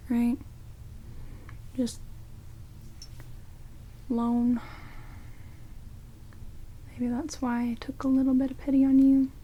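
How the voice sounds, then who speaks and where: A woman playing a sardonic, grumbling vampire in a soft, half-whispered ASMR roleplay, muttering dryly close to the microphone. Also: a little high, a little hiss or hum, lightly acted. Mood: wry, softening. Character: sardonic, grumbling female vampire grudgingly tending an intruder. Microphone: close binaural microphones. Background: heavy rain.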